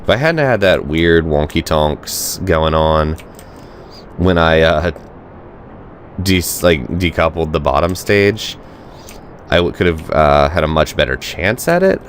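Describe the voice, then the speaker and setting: A man's voice: extremely low pitch, animated, measured pace, slight background noise. A man with a cold and a congested, nasal voice, thinking aloud over a game he is playing. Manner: thinking aloud, rambling with fillers. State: stuffed up with a cold. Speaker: gaming channel host. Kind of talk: let's play commentary.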